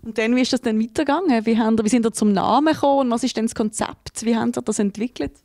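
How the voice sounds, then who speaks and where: A woman's voice: on the high side, rapid-fire, darkish tone, dry recording, studio quality. A woman in her thirties with a Swiss German accent, interviewing guests for TV with friendly, curious questions, speaking into a handheld mic. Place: small indoor studio room.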